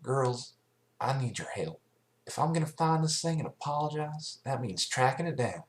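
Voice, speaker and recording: A man's voice: mid-range voice, big pitch swings, normal speed, pitched above the performer's own voice, lightly acted. A man voicing a remorseful, earnest country farm mare pony in an amateur cartoon fan fiction reading. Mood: remorseful, determined.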